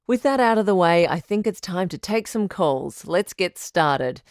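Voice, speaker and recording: A woman playing a supportive radio advice doctor and host in an audio drama, measured, articulate and reassuring. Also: on the low side, expressive, no room sound, studio quality. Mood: welcoming, composed.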